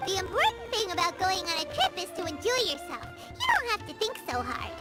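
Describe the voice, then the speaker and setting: A super high squeaky little fairy sidekick, childlike and chirpy, swoopy intonation, anime game. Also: female voice, huge pitch swings, fairly quick, exaggerated voice. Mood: cheerful. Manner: refers to self in third person, chirpy. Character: tiny floating fairy girl companion, childlike, cheerful. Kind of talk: anime-style video game voice acting. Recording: music playing behind the voice.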